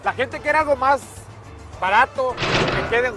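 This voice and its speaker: A man's voice: very high voice, takes it slowly, over loud music. A Mexican man in his thirties, a boxer co-hosting a car ad on an outdoor lot, teasing and repeating phrases. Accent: Mexican Spanish. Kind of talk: joking sales banter.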